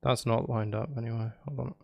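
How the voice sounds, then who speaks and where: A man's voice: medium pitch, fairly even intonation, fairly quick, vocal fry, dull audio. A man streaming casually, thinking aloud as he works things out. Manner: thinking aloud, counting and humming out rhythms. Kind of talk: casual live stream chat while composing music. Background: music playing.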